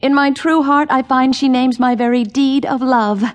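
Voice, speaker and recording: An actress playing a gushing, competitive princess in a theatrical Shakespearean audio drama. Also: female voice, sits high, steady tone, normal speed, lightly acted. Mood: gushing flattery. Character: king's second daughter, gushing, competitive flatterer.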